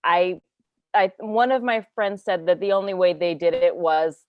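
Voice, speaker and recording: A woman's voice: lowish voice, normal speed, dry recording, lo-fi sound. Chatty woman podcaster, dry sound. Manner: chatty, lots of "like" fillers, laughs along. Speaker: podcast co-host. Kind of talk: casual chat.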